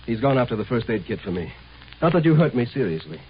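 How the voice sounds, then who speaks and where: An actor playing a calm, superior imperial marshal in a 1950s radio drama, urbane and condescending in a measured way. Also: male voice, medium pitch, natural voice. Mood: coolly confident. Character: calm, superior alien-born imperial marshal, secretly a genius agent. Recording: muffled, noisy 1950s radio recording.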